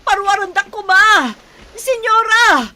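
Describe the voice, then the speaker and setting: A high, sharp voice playing a humble servant woman in a radio drama. She is a pleading mother, deferential to her mistress. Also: lively intonation, takes it slowly, a little hiss or hum, heavily acted. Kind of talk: radio soap drama acting.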